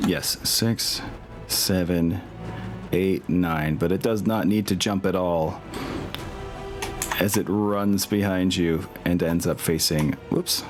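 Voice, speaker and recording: A man's voice: deep voice, steady tone, slow pace. A man acting as game master in a casual tabletop session, thinking aloud as he counts out moves. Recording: music behind the voice.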